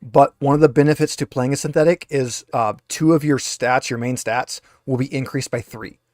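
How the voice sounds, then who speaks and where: A man's voice: medium pitch, normal speed, darkish tone, no room sound, studio quality. Casual man running a tabletop game. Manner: explanatory, conversational. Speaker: game master.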